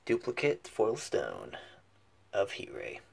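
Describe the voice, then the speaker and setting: A man's voice: on the low side, huge pitch swings, slow, creaky, a little mellow, a little hiss or hum. A man giving casual unboxing commentary in short, muttered, fragmentary remarks. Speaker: card pack opening host. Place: indoors at a table covered with cards.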